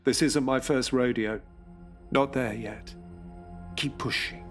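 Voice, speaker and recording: Rich: A seasoned male racing driver, reckless and driven. He speaks in terse, clipped bursts of inner monologue in an audio drama, with loud dramatic music running underneath. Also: medium pitch, steady tone, takes it slowly. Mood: triumphant. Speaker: narrator and lead character.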